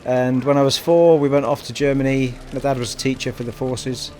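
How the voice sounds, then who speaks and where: Chatty Scottish-born streamer, English accent. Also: male voice, mid-range voice, fairly even intonation, fairly quick, slight background noise. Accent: generic English. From Scotland. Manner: chatty, self-deprecating, thinks out loud. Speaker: game streamer. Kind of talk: live gameplay commentary. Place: home streaming room.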